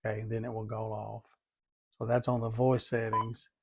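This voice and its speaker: A man's voice: lowish voice, little pitch movement, normal speed, slightly rough, no room sound. A man giving a methodical, hands-on tutorial, talking through each step and repeating as he goes. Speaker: DIY product demonstrator.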